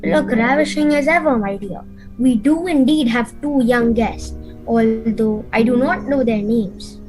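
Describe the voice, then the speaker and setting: Woman playing a slow, theatrical vampire count. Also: fairly high pitch, darkish tone, close to an everyday voice, poor audio. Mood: grandly menacing. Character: suave, menacing vampire count, grandly hospitable husband. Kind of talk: amateur radio play acting. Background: music.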